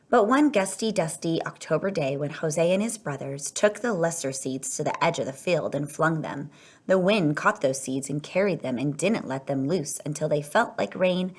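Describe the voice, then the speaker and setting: Female storyteller for a children's picture book read-aloud, lower register, expressive delivery with drawn-out emphasis.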